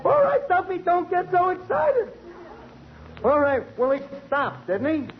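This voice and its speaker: High-pitched New York tavern wise guy. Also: wildly swooping pitch, a little slow, heavily acted. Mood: flustered. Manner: slangy, ungrammatical wise guy. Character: scheming, flustered tavern manager with mangled grammar. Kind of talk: 1940s radio comedy acting. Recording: noisy, muffled vintage radio broadcast.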